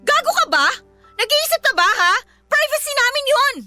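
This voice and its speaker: A woman's voice: very high voice, animated, moderate pace, sharp tone, no room sound, soft background music, heavily acted. An actress playing a jealous, combative young girlfriend in a Filipino radio drama, scolding with emphatic questions in a Filipino accent. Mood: furious, scornful.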